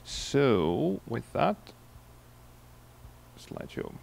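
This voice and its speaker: A man in his forties from Iceland with an Icelandic accent, giving a relaxed conference keynote into a handheld mic, telling stories with many fillers and restarts. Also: mid-range voice, drawn-out words, vocal fry. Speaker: keynote speaker. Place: large conference hall on stage. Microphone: handheld microphone.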